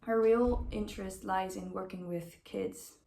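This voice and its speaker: A woman's voice: mid-range voice, fairly even intonation, a little slow, gravelly, mellow, a little hiss or hum. A woman in her twenties from the Netherlands, speaking English, giving an earnest, conversational self-introduction. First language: Dutch. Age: 20s. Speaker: au pair applicant. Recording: roomy echo.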